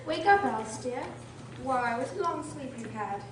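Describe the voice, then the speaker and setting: A woman playing a young girl's gentle older sister in a radio drama. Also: high voice, animated, normal speed. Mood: tender. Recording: very echoey, distant, noisy room.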